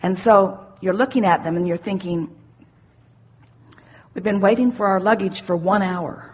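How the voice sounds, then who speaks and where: Casting: woman, husky voice, spiritual seminar teacher, deliberate and emphatic, explains in measured phrases. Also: medium pitch, darkish tone. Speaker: spiritual teacher answering audience questions. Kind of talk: live spiritual seminar talk, unscripted question and answer.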